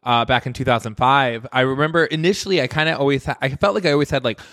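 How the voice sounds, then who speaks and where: A man's voice: mid-range voice, quick pace, fairly bright tone, no echo. Chatty midwest guy hosting a podcast, mid voice, dry close mic. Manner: upbeat, chatty, laughs often. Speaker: podcast host. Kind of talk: casual interview chat.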